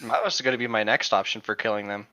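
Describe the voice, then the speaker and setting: A man's voice: fairly low pitch, fast, bright, forceful tone, dry recording. A man chatting casually as a podcast co-host, slangy and crude in his joking, on a lo-fi microphone. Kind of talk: casual chat.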